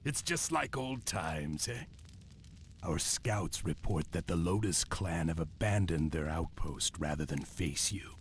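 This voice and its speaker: An actor playing a seasoned martial advisor with a husky voice, briefing his lord in a video game. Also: sits low, lively intonation, a little slow, slight background noise. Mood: hearty.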